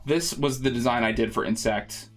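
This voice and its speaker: A man's voice: mid-range voice, steady tone, moderate pace. Casual male streamer thinking aloud over music. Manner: informal, rambling, thinking aloud. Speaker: streamer and artist hosting a live drawing stream. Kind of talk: casual live stream chat. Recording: music playing behind the voice. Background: background music.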